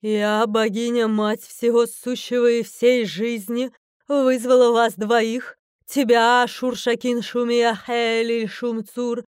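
An imperious mother goddess of a fantasy audiobook, acted out by a woman. Her voice is smooth and resonant, recorded dry and close, and she declaims with stern grandeur and quick irritation. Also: medium pitch, flat delivery, no room sound, lightly acted. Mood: majestic. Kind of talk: fantasy audiobook character acting.

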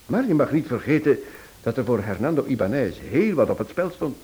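An actor plays a theatrical male sleuth in a radio drama. He tells his case with relish and emphasis, his mid-range voice swinging widely in pitch. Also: sing-song, fairly quick, mellow, lightly acted, rough recording. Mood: dramatic relish. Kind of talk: Dutch radio play acting.

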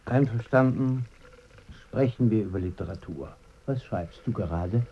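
A man's voice: lowish voice, measured pace, lo-fi sound, a little hiss or hum. A man acting in a German radio play as an earnest, troubled friend seeking answers, insistent and dryly sardonic. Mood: dry, wry.

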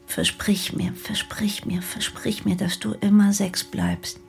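A woman playing a weary, gravelly young mother. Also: medium pitch, flat delivery, takes it slowly, soft background music. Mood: pleading. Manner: soft, pleading, often half-whispered. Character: weary battered young mother, tender and fragile. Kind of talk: audiobook dialogue reading.

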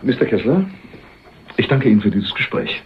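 An actor playing a wry, tough ex-soldier turned crook in a German crime radio play, laconic and sardonic. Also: male voice, fairly low pitch, animated, moderate pace, noisy background. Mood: sardonic, bargaining. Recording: narrow, muffled 1980s radio drama recording.

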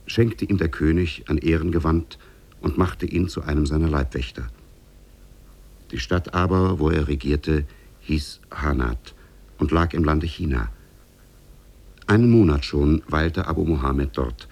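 Really deep husky guy narrating an old radio fairy tale, measured and formal. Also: a little mellow. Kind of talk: scripted storytelling narration, 1950s radio drama.

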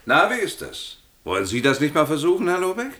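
An effusive, animated company boss. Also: male voice, fairly high pitch, brisk, heavily acted. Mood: prodding. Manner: effusive, grandiose. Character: effusive West German sales boss, grandly patronising. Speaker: company superior. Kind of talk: radio play acting.

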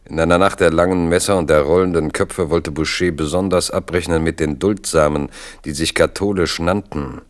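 Male radio drama narrator, very low pitch, scripted storytelling. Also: steady tone, moderate pace, hardly any pauses.

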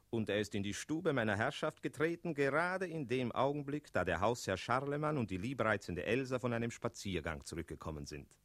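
Chatty sly cat telling a fairy tale on radio, very animated, theatrical and a bit pompous. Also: male voice, medium pitch. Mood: ominous, confiding. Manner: theatrical, digressive, pompously polite. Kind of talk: German radio play fairy-tale narration.

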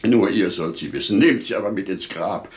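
Actor as a low-voiced, solemn grieving merchant father. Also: natural voice. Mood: grave, confiding. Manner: solemn, declamatory, deliberate. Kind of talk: 1950s German radio play acting. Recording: 1950s radio drama recording.

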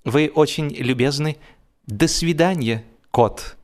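A man's voice: a little high, takes it slowly, pitched above the performer's own voice. A man voicing a timid, over-polite dog who stammers nervous apologies in an audiobook comedy. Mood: nervous, apologetic.

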